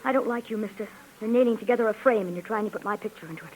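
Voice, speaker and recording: A woman acting as a hard-boiled, distrustful dame from a crime story. Her voice is mid-pitched, curt and sardonic, with a threatening edge. It is a slightly noisy old radio drama recording. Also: rapid-fire, close to an everyday voice. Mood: curt, suspicious.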